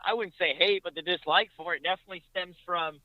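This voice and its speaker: A man's voice: sits high, fairly even intonation, fast talker, dry recording, lo-fi sound. A man talking casually as a podcast guest, recounting racing details in a conversational way.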